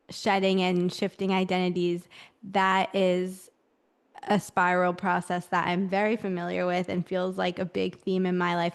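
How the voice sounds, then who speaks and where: A woman's voice: medium pitch, gravelly, smooth. A woman hosting a podcast, talking in an effusive, gushing way to her guest. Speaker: podcast host. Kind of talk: scripted intro then casual interview.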